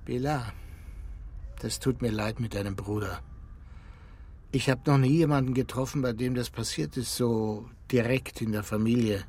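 A man's voice: medium pitch, fairly even intonation, moderate pace, darkish tone, a little hiss or hum, close to an everyday voice. An actor playing a kindly middle-aged country man, earnest and plain-spoken, weighed down by grief and guilt in a radio play. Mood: gentle. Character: kindly grieving rural man burdened by guilt.

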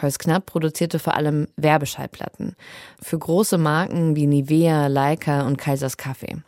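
A woman's voice: low-pitched, steady tone, moderate pace, a little mellow, no echo, studio quality. A woman reading a scripted radio feature as a reporter.

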